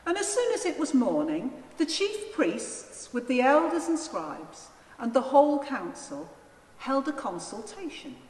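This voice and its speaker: A woman narrating a dramatised scripture reading in a solemn, deliberate manner. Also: high-pitched, very animated, darkish tone. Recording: echoey church, long reverb, faint noise.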